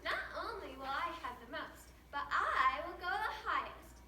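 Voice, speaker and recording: A woman's voice: very high-pitched, very animated, moderate pace, bright, forceful tone, heavily acted. A woman voicing an eager, boastful little female wooden toy person in a sing-song readers theater style. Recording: echoey room, distant mic, noisy background.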